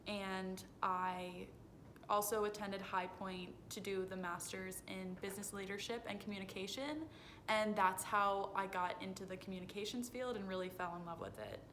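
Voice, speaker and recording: A woman's voice: moderate pace, fairly bright tone. Young woman interviewee, noisy phone recording. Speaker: interviewee, foundation communications director. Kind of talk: rehearsed on-camera interview answers. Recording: phone video with noisy low rumble.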